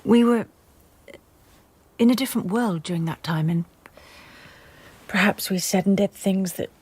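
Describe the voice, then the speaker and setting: Breathy, guarded period wife. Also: female voice, mid-range voice, unhurried, darkish tone. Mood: guarded, subdued. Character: guarded, weary wife in a strained period marriage. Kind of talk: naturalistic period radio drama acting.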